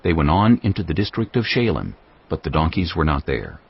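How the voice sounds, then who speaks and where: Deep husky guy narrating bible story, creaky, expressive, measured. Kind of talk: scripted dramatized Bible narration. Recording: slightly dull sound.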